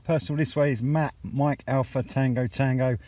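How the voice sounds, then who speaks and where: A man's voice: mid-range voice, fairly even intonation, normal speed. A British man chatting casually outdoors as a vlogger and portable radio operator. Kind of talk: casual outdoor vlog talk and radio chat. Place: outdoors on a sunny hilltop. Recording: outdoor recording with clear background noise.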